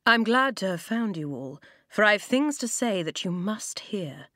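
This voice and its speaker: Woman doing a soft gentle old bear-pelt guy, low and quiet, no room sound. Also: animated, unhurried, no echo, clean studio sound.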